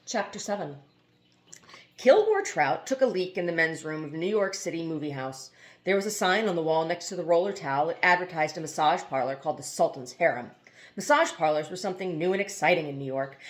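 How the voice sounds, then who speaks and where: A woman's voice: deep voice, lively intonation, brisk. A woman reading a novel aloud as scripted narration, now and then stumbling over a word. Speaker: amateur audiobook reader.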